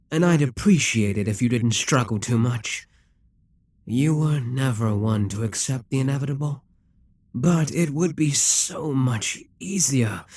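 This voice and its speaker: A man voicing a possessive, scheming demon king in an audio roleplay, calm and commanding, slipping into whispers as he coaxes. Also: medium pitch, no room sound. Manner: slow, commanding, coaxing, drops to whispers.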